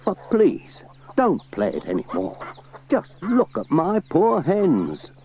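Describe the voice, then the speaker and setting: Man doing a high, emphatic village farmer. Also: huge pitch swings, slow, mellow, full character voice. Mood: grumbling. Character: blunt, good-natured village farmer. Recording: muffled, hissy cassette transfer.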